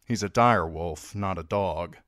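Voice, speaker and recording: A man's voice: low-pitched, a little slow, fairly bright tone, no echo, clean studio sound. A man voicing a young king who speaks firmly and gives commands. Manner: firm, commanding.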